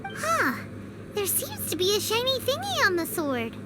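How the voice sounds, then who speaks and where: A tiny floating sprite girl with a piercingly high, bouncy voice that leaps all over the place. She is excitable and blunt, and she refers to herself by name. Game audio with a faint ambient bed behind her. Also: unhurried, very noisy, exaggerated voice. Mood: chirpy. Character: tiny floating female companion sprite, chirpy and bossy. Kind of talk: video game voice acting.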